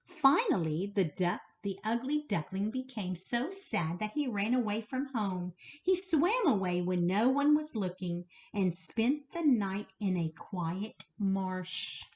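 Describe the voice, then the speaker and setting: A woman reading a children's bedtime story aloud in an expressive way, speaking directly to young listeners. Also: mid-range voice. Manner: slow, animated, pausing to address the child listeners directly.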